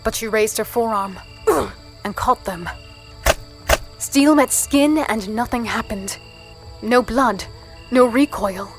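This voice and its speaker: A plucky, spirited female fighter, narrating and sparring in a fantasy audio drama. Her voice is on the high side, earnest and feisty. Soft music runs faintly underneath. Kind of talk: first-person dramatic narration and dialogue.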